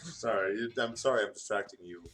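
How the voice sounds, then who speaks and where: A man's voice: lowish voice, brisk, fairly bright tone. A man doing a comedic read-aloud of fanfiction, stumbling over words and breaking off to comment. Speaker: fanfiction reader on a gaming show.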